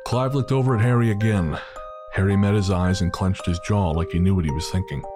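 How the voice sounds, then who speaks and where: A man's voice: deep voice, steady tone, brisk. A man giving scripted, dramatic narration for an audio story. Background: music, rain and storm sound effects.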